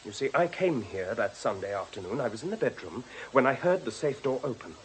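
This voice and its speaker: An actor playing a distraught, defiant young drug addict with a nervy, emphatic manner in a radio drama. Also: male voice, medium pitch, big pitch swings, brisk, slight background noise, close to an everyday voice, low-quality recording. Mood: defiant, heated.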